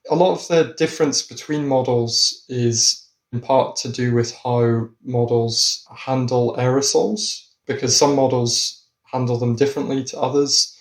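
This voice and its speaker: A man of middle pitch answering interview questions as a climate scientist. He speaks in a measured, explanatory way, and his voice has a noticeable roomy echo. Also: fairly even intonation.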